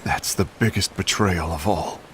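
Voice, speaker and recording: Blunt male game warrior, very low voice, frank and bitter. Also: measured pace, a bit bright, a little hiss or hum. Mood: resentful. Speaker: game character. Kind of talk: video game voice acting.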